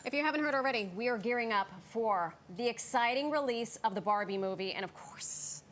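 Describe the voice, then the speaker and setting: A woman's voice: on the high side, fairly quick, fairly bright tone, slight background noise. A woman co-hosting a TV talk show in a studio, chatty and giggly. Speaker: TV show co-host.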